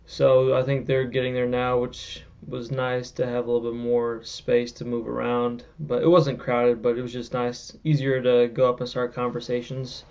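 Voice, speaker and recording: Creaky young man vlogging casually. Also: medium pitch, normal speed, hardly any pauses, muffled recording. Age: young adult. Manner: relaxed, rambling delivery with fillers. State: losing his voice. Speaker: vlogger and podcast host. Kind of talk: casual vlog chat.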